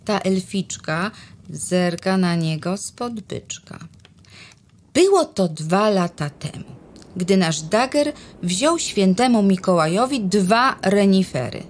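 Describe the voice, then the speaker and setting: A woman narrating a rhymed children's Christmas story expressively, sometimes dropping to a whisper. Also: fairly low pitch.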